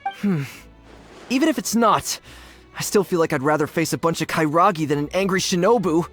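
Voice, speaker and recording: An actor playing a cowardly, scatterbrained young gang member in a video game, talking in a rambling, slangy way. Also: male voice, a little high, lively intonation, brisk, a bit bright, soft background music. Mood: anxious. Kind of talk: animated video game voice acting.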